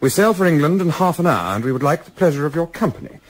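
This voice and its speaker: Young English gentleman adventurer male actor, husky edge, lively intonation, clipped and formal, cool-headed, radio drama. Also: fairly high pitch, fast talker. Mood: cool, resolute. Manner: clipped, formal, self-assured. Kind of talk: radio play acting.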